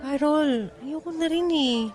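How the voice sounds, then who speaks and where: A woman's voice: high-pitched, unhurried, darkish tone, faint music behind. A woman playing a troubled, defiant, pregnant young woman with an emotional, pleading manner in a Tagalog radio drama, with a Filipino accent. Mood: bitter, pleading. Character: troubled pregnant nineteen-year-old woman, defiant and wounded.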